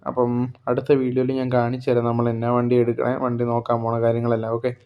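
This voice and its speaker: Male vlogger from Kerala, rapid monotone, rambling casual narration full of fillers. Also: mid-range voice, smooth, highs cut off. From Kerala, India. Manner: rambling, heavy on fillers like "okay" and "and things". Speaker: vlogger narrating a bus-business roleplay. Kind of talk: casual first-person vlog narration.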